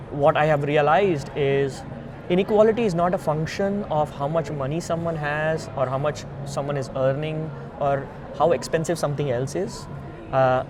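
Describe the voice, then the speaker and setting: Male, Indian accent, slightly high register. Earnest, emphatic interviewee explaining step by step over noticeable outdoor traffic noise. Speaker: founder and CEO being interviewed. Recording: noisy outdoor ambience with traffic rumble.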